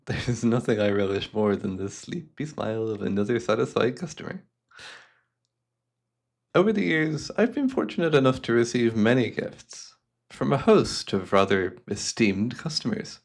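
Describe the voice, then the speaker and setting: Man playing a low, creaky, suave hypnotist. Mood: smooth, assured. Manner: suave, formal, unhurried, theatrical. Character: devious, courteous male hypnotist guiding a client. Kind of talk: hypnosis ASMR roleplay, soothing scripted monologue.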